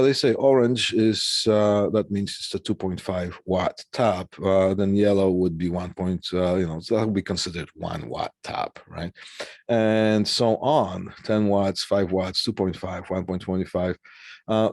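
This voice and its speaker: A man teaching a technical lesson informally over slides, explaining haltingly and often checking that listeners follow. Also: deep voice, fairly even intonation, a little mellow, no room sound, studio quality. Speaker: technical instructor.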